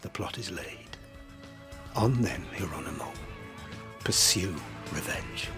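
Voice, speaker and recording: An actor playing a grieving, vengeful middle-aged court official in a classical verse radio drama, intense and commanding, sometimes dropping to a hush. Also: male voice, sits low, animated, slow, exaggerated voice. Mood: brooding, vengeful. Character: grieving, vengeful Spanish father and court knight-marshal. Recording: music under the voice.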